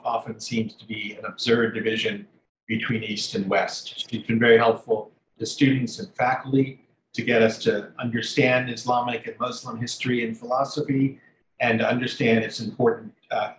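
Halting man in a roomy space. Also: medium pitch. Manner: self-deprecating, halting with uhs. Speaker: co-host introducing the guest. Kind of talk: guest introduction. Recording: roomy with faint background noise.

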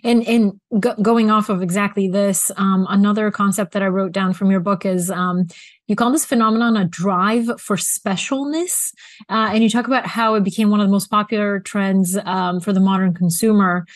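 A woman's voice: mid-range voice, steady tone, normal speed, dry recording, clean studio sound. A young woman from Bulgaria interviewing a guest, upbeat and casual, using lots of "like" and "um". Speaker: interviewer, host. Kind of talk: conversational interview.

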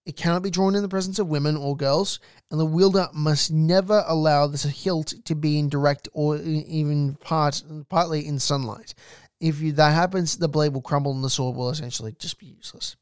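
Male podcast host, emphatic, direct asides to listener, slightly high voice, smooth clear tone, dry close mic. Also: steady tone, fairly quick, no echo. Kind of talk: solo podcast narration on folklore.

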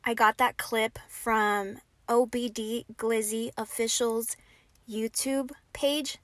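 A woman's voice: fairly high pitch, dry recording. Chatty woman telling a story. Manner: animated, conversational, dramatic pauses. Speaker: podcast host. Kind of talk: storytime narration.

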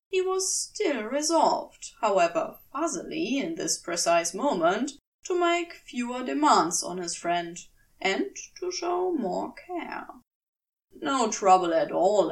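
Slow, expressive woman narrating a story. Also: on the high side, far from the mic. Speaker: amateur audiobook reader.

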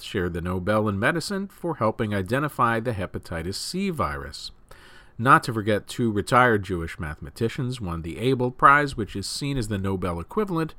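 A man's voice: medium pitch, fairly bright tone, no echo. A man reading scripted news stories as the host of a news program.

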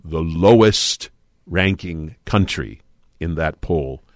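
A man interviewing in a conversational style, phrasing his questions carefully with pauses. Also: sits low, lively intonation, no echo, clean studio sound.

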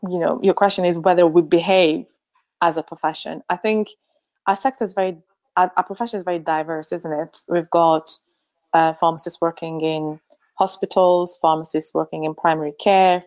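A middle-aged woman from Finland speaks as an interview guest with a fairly low voice. She talks thoughtfully, with many ums, and laughs easily. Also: steady tone, moderate pace, darkish tone. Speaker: pharmacy association chief executive, guest. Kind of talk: remote interview.

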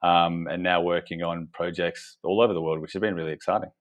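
An Australian man with a very deep voice talks business in an even, flat tone. He pads his answers with ums and you-knows as he explains his work. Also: quick pace. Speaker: company co-founder and guest. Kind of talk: conversational interview answers.

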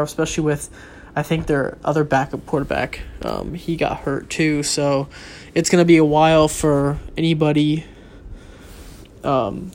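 A young man with a slightly high, congested voice, stuffy from a cold. He rambles casually in a vlog, full of ums and restarts. A faint hiss of noise sits behind him. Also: a little mellow. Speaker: vlogger. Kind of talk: casual solo talk on football and sneakers.

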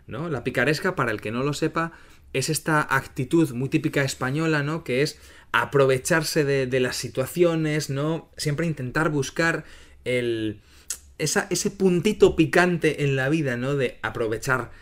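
A man's voice: on the high side, measured pace, a bit bright. A middle-aged man from Spain hosting a casual podcast and explaining ideas for learners, often ending points with a questioning tag. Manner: explains terms clearly, often asks "¿no?". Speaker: podcast host and Spanish teacher.

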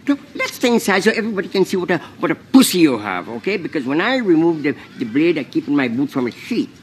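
Older man, combative salesman, very lively intonation, profane, indignant, film comedy. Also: on the high side, fast, slight background noise. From Brooklyn, United States. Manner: indignant, stubborn, sharp-tongued. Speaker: electronics store salesman.

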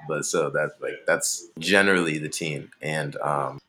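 A man's voice: a bass voice, steady tone, measured pace, bright, forceful tone, a little hiss or hum. A young man from Maryland, a musician, talking casually in an interview in a laid-back, rambling way with lots of fillers. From Maryland, USA.

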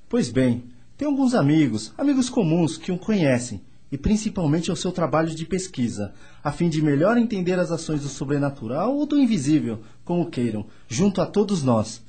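A man acting as a courteous, knowing gentleman in a radio drama. His fairly high, husky voice swoops up and down with florid, formal politeness. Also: big pitch swings, moderate pace, few pauses. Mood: confiding, informative. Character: courteous, well-informed Frenchman, eager to introduce himself. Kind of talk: radio soap opera acting.

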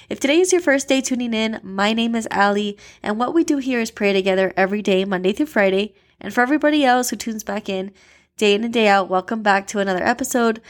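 A woman from Florida hosting a devotional podcast, stuffy and a bit nasal with congestion, chatting earnestly and leading a prayer. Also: medium pitch. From Miami, Florida. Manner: earnest, upbeat and chatty, with fillers. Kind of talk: casual devotional talk and spoken prayer.

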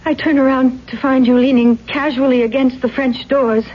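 Young radio-play ingenue, breathy and high, curious, polite, easily startled. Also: female voice, steady tone, moderate pace. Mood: startled, wary. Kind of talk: 1970s radio drama acting. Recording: muffled 1970s radio broadcast.

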